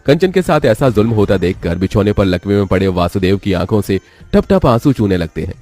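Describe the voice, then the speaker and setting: A man's voice: lowish voice, big pitch swings, a little fast, warm tone, no echo, faint music behind. A man narrating a scripted cartoon story in a dramatic storytelling style.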